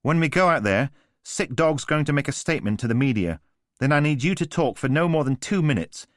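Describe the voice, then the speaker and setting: A man voicing a brisk, pushy young female publicist with an Estuary accent. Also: fairly high pitch, expressive, dry recording, studio quality, voice pushed up, natural voice. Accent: Estuary English. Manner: brisk and businesslike.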